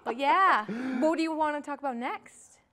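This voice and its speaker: Female film show guest, higher pitch, loose conversational delivery that rambles informally. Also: moderate pace, a bit bright. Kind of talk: casual chat about films.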